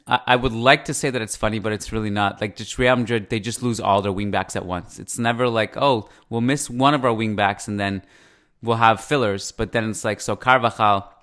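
Low-voiced man with a Persian-tinged Canadian accent. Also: fairly bright tone. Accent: Canadian East Coast with Persian influence. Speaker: sports podcast host. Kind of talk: casual football analysis.

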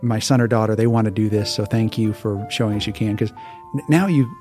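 Mellow-voiced man telling stories. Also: lowish voice, a little fast, faint music behind. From Hawaii. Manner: relaxed, laughs often, restarts words. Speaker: guest. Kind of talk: casual interview storytelling.

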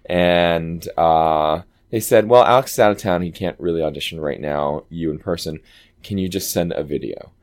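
A man with a low voice, an actor telling stories in a casual interview and acting out quoted lines. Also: normal speed. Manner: animated, chatty, acts out quoted dialogue. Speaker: Broadway actor guest.

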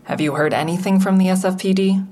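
A woman's voice: lowish voice, fairly even intonation, fast talker, dark tone, close to an everyday voice. An actress playing a guarded, agitated, sardonic woman who narrates and speaks in an audio drama. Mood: agitated, sarcastic. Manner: sardonic, exasperated. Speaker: narrator and lead character. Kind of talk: first-person audio drama narration and dialogue.

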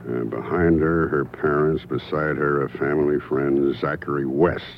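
An actor playing a wry private detective in a 1970s radio drama, with dry, crisp narration and sometimes hesitant talk. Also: male voice, extremely low pitch, fairly even intonation, normal speed, slight background noise. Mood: probing. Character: wry middle-aged male private detective, narrating his case. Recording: dull radio recording, loud music behind.

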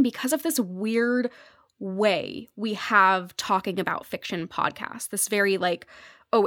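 A woman speaking as a podcast guest in earnest conversational commentary, with halting, thoughtful phrasing. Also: mid-range voice, fairly bright tone, dry recording, clean studio sound.

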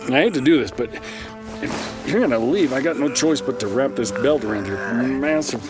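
A man's voice: mid-range voice, animated, moderate pace, over music, natural voice. A man playing a wry, chatty therapist in an audio drama, talking in a hesitant, rambling, sardonic way. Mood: sheepish bravado. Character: wry, chatty, flustered male therapist who brawls apologetically. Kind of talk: naturalistic audio drama acting.

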